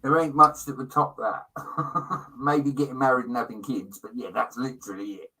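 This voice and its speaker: Bright, forceful British man chatting casually. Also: medium pitch, steady tone, moderate pace, a little hiss or hum, low-quality recording. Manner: chummy, opinionated, sweary, slangy. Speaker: livestream co-host. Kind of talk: casual livestream chat.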